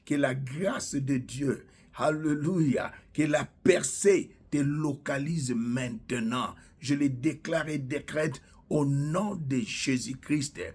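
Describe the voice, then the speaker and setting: Preacher guy, slow and drawn out, kinda husky, keeps saying alleluia and declaring stuff. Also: mid-range voice, a little mellow. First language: Lingala. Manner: fervent, punctuates lines with alleluia. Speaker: preacher and self-styled prophet. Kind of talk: prophetic sermon with declarations.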